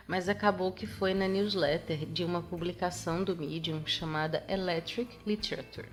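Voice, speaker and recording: A woman podcast host with a Brazilian Portuguese accent, chatting casually and sounding a bit nasal from a cold she is getting over. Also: on the low side. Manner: chatty, self-correcting. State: recovering from a cold, slightly nasal. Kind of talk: casual solo podcast chat.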